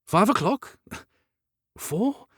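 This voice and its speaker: A man voicing a gentle, resigned older Victorian mill manager in an audiobook, wearily questioning and chiding his clerks. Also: high voice, big pitch swings, very slow, husky, no echo, studio quality, pitched above the performer's own voice, heavily acted.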